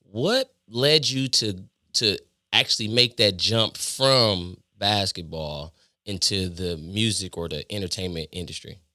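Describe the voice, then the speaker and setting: A male podcast host from Indiana speaks in a fairly low voice with a bright, forceful edge. The sound is dry and close, and he talks in a relaxed, agreeable way. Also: unhurried, no echo. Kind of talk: casual interview.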